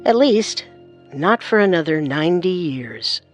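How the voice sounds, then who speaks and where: A woman's voice: lowish voice, lively intonation, measured pace, faint music behind. A woman co-narrating a true-crime story in a scripted, suspenseful manner.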